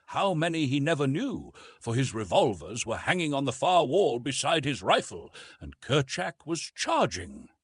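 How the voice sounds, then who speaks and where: A man narrating a classic adventure story as an audiobook, in a measured, dramatic storytelling manner. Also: mid-range voice, very animated, husky, dry recording.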